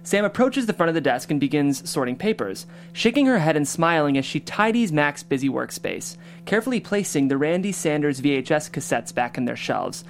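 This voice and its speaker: Guy host reading screenplay directions, voice a bit on the high side. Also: animated, a little fast, gravelly. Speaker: stage directions reader and host. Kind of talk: scripted narration.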